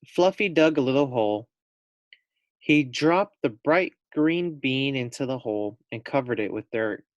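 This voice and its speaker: A man reads a children's story aloud at a slow pace, in a middling pitch. The recording is close and dry, and he speaks simply and directly, like a teacher with young pupils. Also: expressive, no room sound, highs cut off. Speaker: teacher reading aloud to first graders. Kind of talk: expressive storybook read-aloud with casual asides to young listeners.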